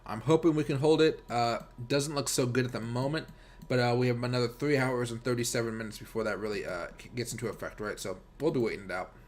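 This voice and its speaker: Fast-talking American man on a livestream. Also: medium pitch. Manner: rambling, informal, addresses viewers directly. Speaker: livestream host and crypto trader. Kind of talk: casual livestream chat and chart commentary.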